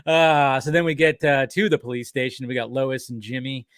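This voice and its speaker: A man co-hosting a casual chat, animatedly recapping a cartoon episode with quick acted-out quotes and casual swearing. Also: mid-range voice, a bit bright, smooth, no room sound, clean studio sound. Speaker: podcast co-host.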